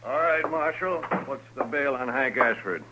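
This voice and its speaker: A man's voice: medium pitch, expressive, moderate pace, noisy background, close to an everyday voice. An actor playing an impatient, bossy, suspicious frontier townsman, brusque and demanding, in 1950s radio western style. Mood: indignant. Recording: dull, lo-fi 1950s radio recording.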